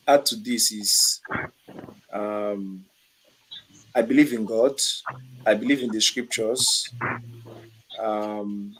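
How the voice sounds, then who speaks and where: A man speaking on a call, measured and hesitant, with many fillers. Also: medium pitch, rough recording. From Igbo region of Nigeria. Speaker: panelist.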